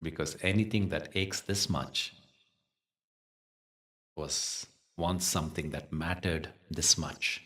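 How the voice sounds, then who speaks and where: Very deep-voiced man guiding meditation, slowly. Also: bright, forceful tone, recorded from a distance. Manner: soothing, unhurried, measured with long pauses. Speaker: meditation teacher. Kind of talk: guided meditation.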